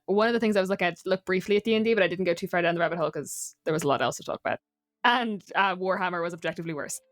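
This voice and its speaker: A woman with a fairly low voice chats in a casual discussion. She is opinionated and wanders into asides. The sound is dry, with faint music. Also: no room sound. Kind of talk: casual discussion of folklore and fantasy games.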